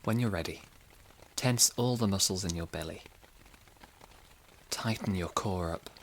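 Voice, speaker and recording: A man hosting a guided sleep meditation podcast, giving soothing, gentle, unhurried instructions. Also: low-pitched, a little hiss or hum, low-quality recording.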